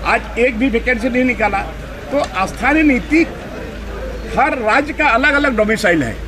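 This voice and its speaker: A man makes an off-the-cuff statement to the press. His pitch is high, and a busy crowd and traffic surround him outdoors. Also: expressive, measured pace.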